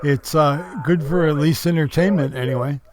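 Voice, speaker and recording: Casual man reviewing gear, slightly high voice. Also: moderate pace, a little mellow. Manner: informal, explanatory. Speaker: product reviewer. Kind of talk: casual product review narration.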